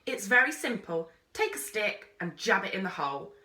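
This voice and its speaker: A woman's voice: medium pitch, sing-song, normal speed, sharp tone, recorded from a distance. A woman voicing a brusque, wry explorer man with a commanding, matter-of-fact manner in a storybook. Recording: roomy, echoey sound.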